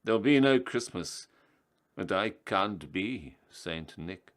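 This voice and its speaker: A man performs an excitable skeleton from a storybook, a misguided holiday spirit who declaims everything theatrically. His delivery is slow and exclamatory, rising above a low-pitched base. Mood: urgent pleading.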